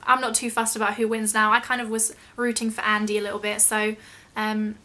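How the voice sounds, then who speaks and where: Bright-voiced young British woman, chatty. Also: mid-range voice, monotone, fairly quick. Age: young adult. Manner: chatty, self-deprecating, rambling. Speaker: YouTube vlogger. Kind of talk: casual chatty question-and-answer vlog.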